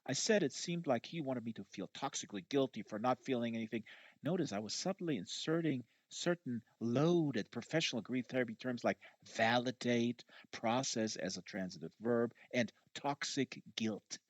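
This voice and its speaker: A man's voice: mid-range voice, moderate pace, no echo. A man reading a first-person novel aloud live, with an emphatic, conversational delivery. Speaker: audiobook reader. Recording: dull, lo-fi audio.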